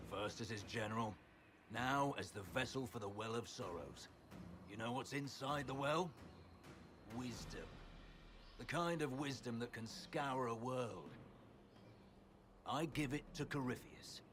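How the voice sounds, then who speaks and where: An actor voicing a battle-worn villainous general in a video game, proud and defiant, with blunt, taunting soldierly speech. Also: male voice, mid-range voice, noisy background, natural voice. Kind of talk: dramatic video game dialogue.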